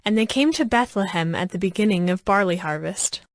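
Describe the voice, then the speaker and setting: A woman narrating scripture as a scripted audio story, with measured, clear phrasing. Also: mid-range voice, animated, dry recording. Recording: background music under the voice.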